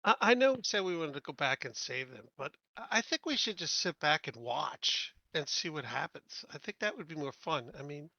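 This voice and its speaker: A man's voice: on the high side, expressive, moderate pace, few pauses, bright, forceful tone, dry recording. A man playing a tabletop game, bantering casually in a blunt, sarcastic way.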